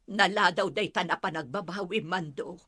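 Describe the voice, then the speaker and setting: A woman's voice: fairly low pitch, moderate pace, pushed and bright, breathy edge, no room sound. An actress playing a grieving wife who blames her husband in an Ilocano radio drama. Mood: accusing, anguished.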